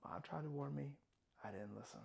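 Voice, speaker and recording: Male actor as haunted young man for a dramatic monologue; intense, halting delivery with huge swings from murmur to anguished cry. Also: fairly high pitch, big pitch swings, voice pushed down. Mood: hushed, regretful. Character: tormented young man haunted by shaving, swinging from hushed to frantic.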